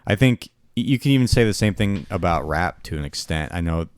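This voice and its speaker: Very low-voiced young man, hesitant. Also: animated, fairly quick, clear, resonant tone, no echo, clean studio sound. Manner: hesitant, restarts, hedging opinions. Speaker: podcast co-host. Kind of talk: casual music chat.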